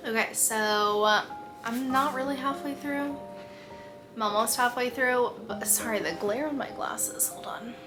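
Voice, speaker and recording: A young woman chatting casually in a reading vlog, expressive and full of "like", losing her voice. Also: on the high side, a little slow, over music. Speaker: book vlogger.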